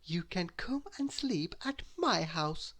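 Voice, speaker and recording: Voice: medium pitch, expressive, takes it slowly, fairly bright tone, voice pushed up, exaggerated voice. A storybook reader voicing a kind, cheerful little girl.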